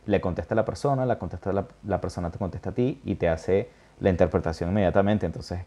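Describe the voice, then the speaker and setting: A man hosting a casual interview, talking thoughtfully with many pauses, fillers and little check-ins. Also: deep voice, dark tone. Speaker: interview host. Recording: dull lo-fi sound.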